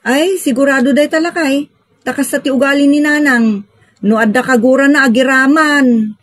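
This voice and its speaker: A woman's voice: high voice, moderate pace, no room sound, natural voice. A woman with a Filipino accent playing an outspoken, exasperated grown daughter in an audio drama, with an emphatic, scolding manner.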